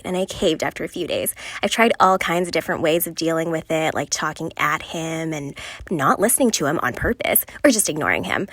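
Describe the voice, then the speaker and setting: A woman playing a lovelorn, frustrated passenger venting confessionally in an animated series. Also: medium pitch, no room sound.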